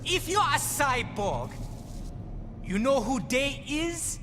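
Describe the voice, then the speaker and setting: A man's voice: very high-pitched, big pitch swings, slow pace, full character voice. A male actor playing a cheeky, defiant street boy with a Guyanese accent and Creole dialect in a video game. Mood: streetwise, defiant. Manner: colloquial Creole dialect, cocky. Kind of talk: video game cutscene acting. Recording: long hall reverb, music behind.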